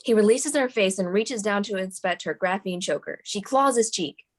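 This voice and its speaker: Woman narrator with a mid-pitched voice. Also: moderate pace. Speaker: narrator reading stage directions. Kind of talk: scripted narration at a table read.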